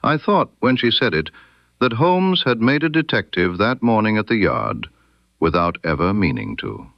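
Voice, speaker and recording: A man narrating a period mystery story in the first person, in a measured, literary way. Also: on the low side, very animated, vocal fry, no echo, highs cut off.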